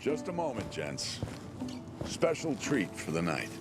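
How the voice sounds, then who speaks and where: An actor playing a protective, easygoing squad lieutenant in a video game cutscene, gruffly joking and swearing. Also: male voice, fairly low pitch, huge pitch swings, unhurried. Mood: upbeat, encouraging. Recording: game music behind the voice.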